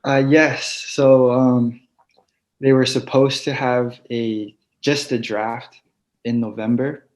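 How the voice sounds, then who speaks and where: A young man who grew up in Hawaii, talking casually in an online interview about his football plans, soft-spoken with many fillers. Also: mid-range voice, level tone, slow pace. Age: young adult. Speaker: football player guest. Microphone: video call. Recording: dull lo-fi video call audio.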